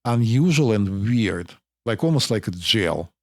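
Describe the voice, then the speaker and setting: A man from Russia with a Russian accent, hosting as a physician and explaining things in a measured way. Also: fairly low pitch, lively intonation, darkish tone, dry recording, studio quality. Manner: explanatory, measured, interjects often. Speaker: physician host. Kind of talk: conversational podcast discussion.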